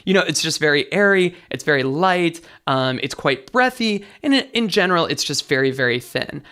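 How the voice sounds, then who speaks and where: A man with a light, bright tenor voice, a vocal coach explaining singing technique as an interview guest. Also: a little high, expressive, normal speed. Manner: enthusiastic teaching, sings short demos.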